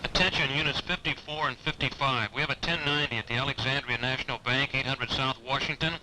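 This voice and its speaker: A man playing a brisk, businesslike American police dispatcher, making clipped official radio calls in an old training film. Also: medium pitch, steady tone, sharp tone, slight background noise, poor audio.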